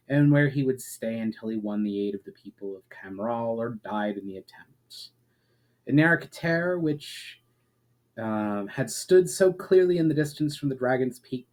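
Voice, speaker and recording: A man reading aloud as an author and host, with expressive, measured phrasing that falls away softly at the ends of sentences. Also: medium pitch, poor audio. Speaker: author and channel host reading his own book. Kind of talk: dramatic storybook reading of fantasy prose.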